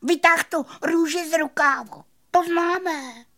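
A woman voicing a tiny, excitable male cricket in a children's story, squeaky and chattering with repeated words. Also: exaggerated voice. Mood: scoffing. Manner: chattering, stammering repetitions.

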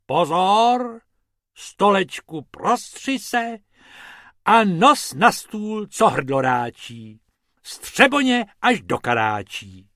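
A man voicing a cheerful, bossy male rabbit magician for a children's storybook, excitably chanting rhymes and commands. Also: high voice, dry recording, studio quality, voice pushed up, exaggerated voice. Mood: showy chanting.

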